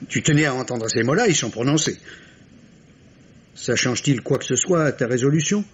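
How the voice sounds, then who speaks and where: An actor playing a cool, impatient, middle-aged French surgeon in a formal, exasperated manner, in a 1950s-style radio drama. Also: male voice, mid-range voice, animated, fairly quick, husky. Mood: weary, cold. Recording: dull, noisy old radio recording.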